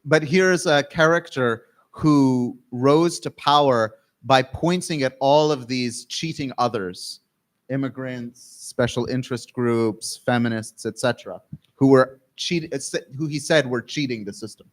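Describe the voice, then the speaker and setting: A man from Canada delivers a keynote lecture at an unhurried, deliberate pace. His pitch sits in the middle, and he speaks in measured phrases with frequent pauses. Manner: measured, pausing for interpretation.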